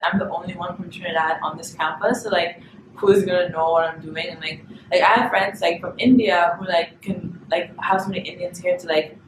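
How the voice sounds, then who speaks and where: A woman's voice: fairly low pitch, fairly even intonation, a little fast. A young woman from Barbados, chatting about home as an international student and filling her talk with "like". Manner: chatty, fills speech with "like". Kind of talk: documentary interview. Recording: echoey room with clear noise.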